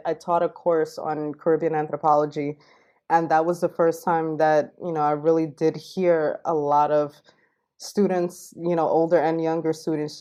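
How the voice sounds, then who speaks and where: A woman from Queens, New York, talking as a podcast guest and linguistic anthropologist, explaining at length in a fluent, relaxed way with many fillers. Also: sits low. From Queens, New York, United States. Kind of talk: casual interview.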